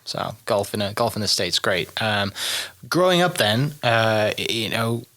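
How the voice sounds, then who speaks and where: A man's voice: lowish voice, animated, unhurried, sharp tone. A man hosting an interview podcast, chatting casually in a laid-back, friendly, informal way.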